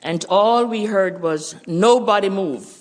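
A woman's voice: medium pitch, slow pace. An older Jamaican woman with a Jamaican accent, a missionary giving an earnest church missions report and testimony.

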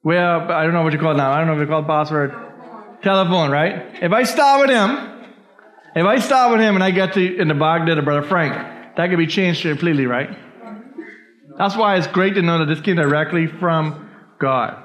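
A man's voice: fairly high pitch, a little fast, fairly bright tone. A man from the Bahamas, teaching a church Bible study and often checking in with his listeners. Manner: keeps checking in with listeners, "all right?". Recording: dull lo-fi recording.

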